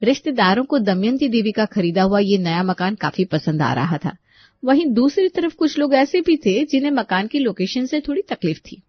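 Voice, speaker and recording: Female storyteller, scripted narration, mid pitch, very dry, slightly dull lo-fi recording. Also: lively intonation, moderate pace, no room sound.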